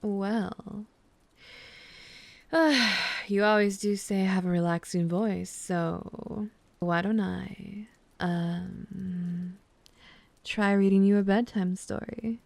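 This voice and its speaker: A woman speaking softly and soothingly in an intimate, gently teasing ASMR bedtime roleplay, close to the microphone. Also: mid-range voice, fairly even intonation, drawn-out words, gravelly, no echo. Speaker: ASMR roleplay performer playing a girlfriend. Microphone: close microphone, dry sound.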